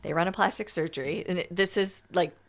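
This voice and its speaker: A woman's voice: lowish voice, fairly quick. A woman co-hosting a podcast, explaining things in detail in a casual, unscripted, conversational way with asides. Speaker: podcast co-host.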